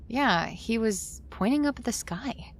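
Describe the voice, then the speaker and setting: A woman's voice: a little high, lively intonation, a little slow. A woman playing a polite, curious, slightly hesitant visitor to a strange city in an audio drama.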